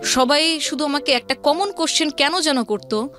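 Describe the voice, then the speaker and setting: A woman's voice: high-pitched, moderate pace, fairly bright tone. A woman with a Bangladeshi accent telling her personal story conversationally as an interview guest, mixing in English words. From Old Dhaka, Bangladesh. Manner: mixes English words into speech. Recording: music playing behind the voice. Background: background music.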